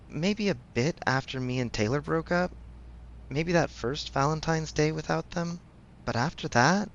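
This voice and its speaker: Man acting a gravelly-voiced, frank best friend. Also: fairly high pitch, highs cut off, a little hiss or hum. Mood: candid, reflective. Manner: casual, frank, gently teasing. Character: supportive male best friend, casual, blunt, teasing, affectionate. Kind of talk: ASMR audio roleplay, conversational.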